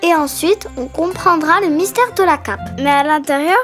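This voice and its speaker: A woman voicing an excitable, bossy little baby girl in a children's cartoon, exclamatory and theatrical. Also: high voice, normal speed. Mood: bossy, curious. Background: cartoon music.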